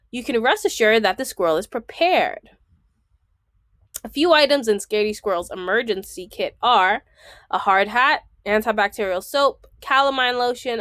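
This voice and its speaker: A woman's voice: a little high, a bit bright, no echo, clean studio sound. A woman reading a children's book aloud, enunciating item by item and adding explanatory asides.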